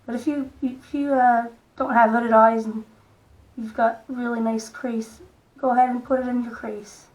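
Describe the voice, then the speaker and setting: Soft-spoken croaky woman. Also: on the high side, level tone, low-quality recording. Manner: soft-spoken, unhurried, stops and restarts gently. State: hoarse, croaky voice. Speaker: makeup vlogger. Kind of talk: casual makeup tutorial talk.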